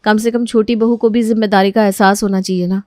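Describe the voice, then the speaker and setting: A woman's voice: medium pitch, fairly even intonation, fast talker, a little mellow, no echo, clean studio sound, natural voice. A woman voicing a proud, scheming young daughter-in-law with a falsely sweet, insistent manner in a moral story. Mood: sweetly persuasive. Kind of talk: Hindi moral story character acting.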